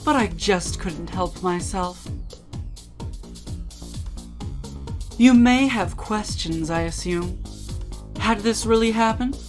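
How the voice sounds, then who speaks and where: Girl doing a playful demon queen of the abyss, slow n theatrical, grand drawn out words, music behind. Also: mid-range voice, natural voice. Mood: coyly theatrical, then teasing, musing. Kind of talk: dramatic reading of game dialogue.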